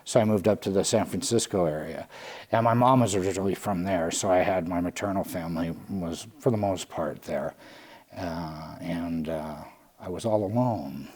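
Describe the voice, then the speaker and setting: Deep-voiced man telling his life story. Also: darkish tone. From California. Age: late 40s. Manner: halting, with frequent restarts and fillers. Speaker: guest, Salvation Army lieutenant. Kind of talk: candid personal storytelling.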